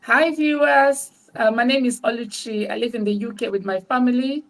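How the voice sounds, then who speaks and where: A woman's voice: on the high side, normal speed, rough recording. Woman from Nigeria introducing herself. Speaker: panelist. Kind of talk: self-introduction.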